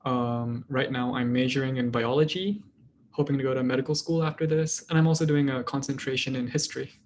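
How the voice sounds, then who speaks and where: Young singapore guy, quick plain intro, a bit of background hiss. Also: mid-range voice, fairly even intonation, a little mellow. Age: young adult. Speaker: student panelist. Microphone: video call.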